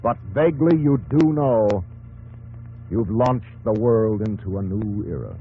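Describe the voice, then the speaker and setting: An actor narrating a 1950s radio drama, solemn and measured, addressing the hero intimately in terse dramatic phrases. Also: male voice, fairly low pitch, lively intonation, slight background noise. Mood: solemn, reflective. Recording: tinny 1950s radio broadcast, music behind.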